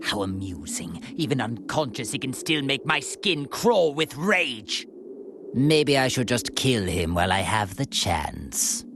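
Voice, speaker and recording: A man's voice: medium pitch, sing-song, measured pace, background noise. A man voicing a cruel, refined alien tyrant in a video game, with haughty, sneering, formal speech. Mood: cold, menacing. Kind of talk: theatrical video game voice acting. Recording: loud music behind the voice.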